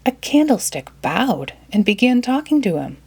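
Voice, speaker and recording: A woman storyteller reading a children's storybook aloud in an expressive manner. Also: on the high side, moderate pace, breathy edge.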